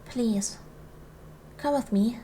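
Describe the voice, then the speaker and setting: A woman acts out a noble duchess in a historical ASMR roleplay. Her voice sits fairly high, with formal, measured phrasing that soothes yet carries quiet command. Some background noise runs beneath her words. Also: rough recording. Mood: gentle, reassuring. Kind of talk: ASMR roleplay, soft formal period speech.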